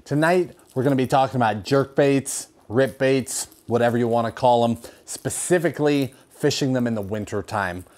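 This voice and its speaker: A young man with a slightly raspy voice, hoarse from a cold, hosting a fishing lesson in a garage and explaining with lively hand gestures. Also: medium pitch, normal speed, few pauses. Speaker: fishing show host. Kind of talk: instructional talk to camera. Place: garage with fishing rods on the wall.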